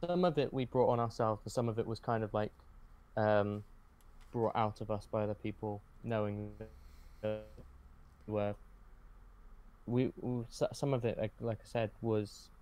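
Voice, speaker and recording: A man's voice: lowish voice, fairly even intonation, moderate pace, a little mellow, slight background noise. A man chatting casually as a podcast guest, hesitant and often correcting himself as he goes. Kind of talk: casual conversation.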